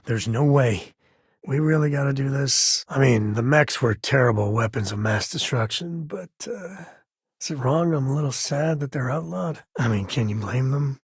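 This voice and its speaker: Casting: easygoing space-western ranger dad, video game. Husky, folksy, wry, mid-pitched, close dry recording. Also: male voice, normal speed, dry recording. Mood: relaxed, sincere. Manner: folksy, wry, casual drawl of phrasing. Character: easygoing male space-western ranger and devoted single father. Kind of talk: video game voice lines, conversational.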